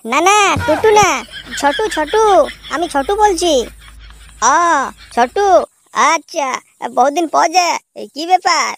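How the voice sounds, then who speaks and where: A performer voicing an excitable, crude petty crook in a slapstick cartoon, exclaiming and repeating words. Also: sits high, measured pace, pauses often, no echo, exaggerated voice. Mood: excited banter. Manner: exclamatory, repetitive, swearing, bantering. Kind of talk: comic cartoon dubbing in colloquial dialect.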